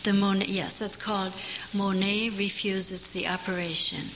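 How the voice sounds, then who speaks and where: A woman poet whose first language is German, answering audience questions and reciting her own poem from memory, thoughtful and unhurried with dry humor. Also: medium pitch, little pitch movement, a bit bright. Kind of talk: Q&A after a poetry reading, reciting from memory. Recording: hissy recording in a big echoing hall.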